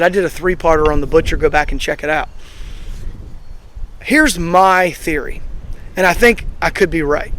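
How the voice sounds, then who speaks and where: A hoarse man in his thirties, host of an axe throwing channel, giving a rambling, opinionated review full of fillers. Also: sits high. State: hoarse, recovering from being sick. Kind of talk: casual outdoor review vlog. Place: outdoors at a throwing range.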